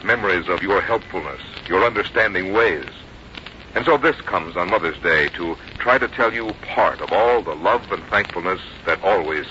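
A man's voice: on the low side, bright, forceful tone, a little hiss or hum. A man reading scripted announcements and advertising as a 1950s radio announcer. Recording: dull 1950s radio recording.

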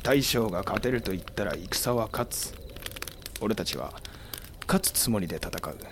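An actor playing a cool, shrewd samurai commander who is gruff but caring, speaking tersely in a Japanese period audio drama. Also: male voice, fairly low pitch, lively intonation, moderate pace, background noise. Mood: subdued, resolute.

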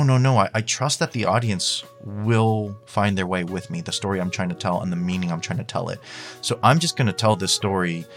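A man speaking informally as an interview guest, explaining his thoughts and acting out imagined quotes as he goes. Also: low voice. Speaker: guest, podcast creator. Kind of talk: casual interview chat.

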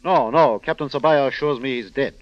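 A man's voice: medium pitch, a little fast, close to an everyday voice. An actor playing a curt, suspicious, wealthy male invalid in a 1940s radio drama, brusque and formal. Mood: flustered, defensive. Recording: muffled vintage radio recording.

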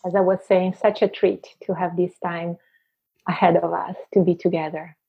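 A woman's voice: fairly low pitch, little pitch movement, moderate pace, vocal fry, husky, muffled recording. A woman with an Italian accent, a podcast guest who talks in a reflective way and laughs often. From Italy. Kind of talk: conversational podcast interview.

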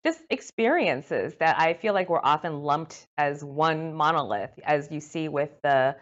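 Give me a deep-voiced woman interviewer on a dull, lo-fi recording. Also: animated, unhurried. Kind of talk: prepared interview questions.

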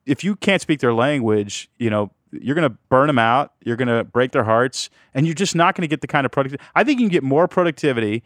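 A man's voice: medium pitch, fast, few pauses, dry recording, clean studio sound. A man in his forties hosting a business podcast, chatting casually and joking with his co-host. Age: 40s. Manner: joking, conversational, animated.

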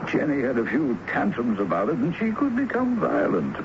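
An actor playing a wry, talkative theatre producer under questioning in an old-time radio drama, with hesitant asides and dry chuckles. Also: male voice, mid-range voice, huge pitch swings, normal speed. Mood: gossipy, amused. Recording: dull, noisy vintage radio recording.

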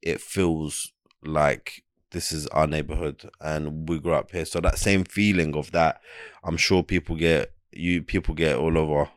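A young man from Iraq with a London accent, telling stories in a relaxed, slangy podcast chat. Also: very deep voice, a little slow, no echo, studio quality. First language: Arabic. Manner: laid-back, slangy storytelling. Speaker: podcast co-host. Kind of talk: casual chat.

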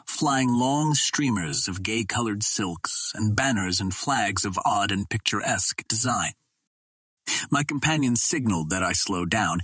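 A man's voice: on the low side, pushed and bright, slightly rough, no echo. A man reading a first-person adventure novel aloud as scripted audiobook narration. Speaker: audiobook reader.

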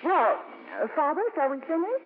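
An actress playing an earnest, gentle nun in a 1950s radio drama, hopeful and a little halting. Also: female voice, high voice, big pitch swings. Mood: apologetic, hopeful. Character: earnest, hopeful nun raising money for her cause. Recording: old, lo-fi, hissy radio broadcast.

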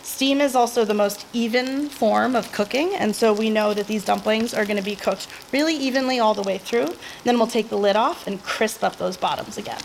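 A woman's voice: on the high side, fairly quick, creaky, few pauses, slight background noise, rough recording. Woman from Boston explaining things upbeat. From Boston area, United States. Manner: explanatory, upbeat, with many filler ums. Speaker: restaurant owner and guest. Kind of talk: casual conversation and cooking demo.

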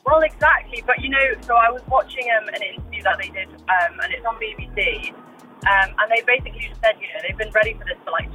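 A woman's voice: mid-range voice, bright, forceful tone, rough recording. A woman from Iceland chatting informally and effusively as a radio guest, often dropping in "like". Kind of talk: casual chat.

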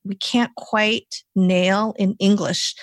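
A middle-aged woman hosting a podcast interview, talking effusively in halting bursts with many restarts. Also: mid-range voice, fairly even intonation, no echo. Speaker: podcast host. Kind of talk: casual interview chat.

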